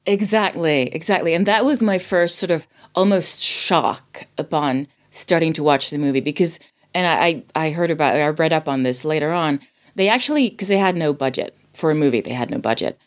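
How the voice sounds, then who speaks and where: Woman with a low voice, informal podcast-style film chat, thinks out loud and rephrases often. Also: moderate pace. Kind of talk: casual film discussion.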